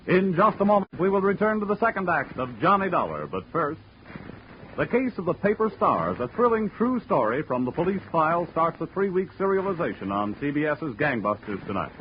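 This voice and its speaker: High-voiced vintage radio announcer man. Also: big pitch swings, a little fast. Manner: crisp, promotional delivery. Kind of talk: 1940s network radio announcing. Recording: dull old radio broadcast with faint hiss.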